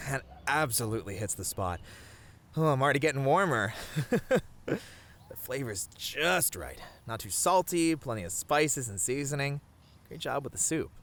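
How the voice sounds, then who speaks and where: A man playing a sweet, bashful boyfriend in a romantic ASMR roleplay, talking tenderly in a flustered, halting way. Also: medium pitch, fairly bright tone, close to an everyday voice. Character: sweet, bashful, rambling boyfriend on a picnic date.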